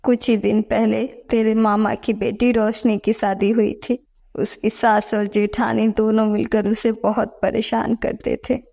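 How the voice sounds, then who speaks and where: A woman's voice: a little high, level tone, a little slow, vocal fry, mellow. A woman playing a worried, protective mother advising her daughter in a scripted story. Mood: relieved, confiding. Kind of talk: scripted audio story dialogue.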